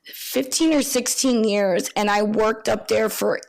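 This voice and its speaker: Woman guest, tri-state accent, gravelly vocal fry, candid and filler-heavy. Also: medium pitch, steady tone, measured pace, low-quality recording. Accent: tri-state (Pennsylvania/Maryland area). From Pennsylvania. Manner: candid, with frequent ums. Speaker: guest photographer. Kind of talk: casual interview conversation.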